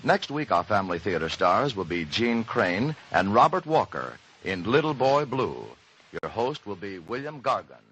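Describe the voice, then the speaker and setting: A man's voice: on the low side, sing-song, moderate pace, a bit bright, lo-fi sound. A man announcing credits in a formal, scripted old-time radio style. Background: music.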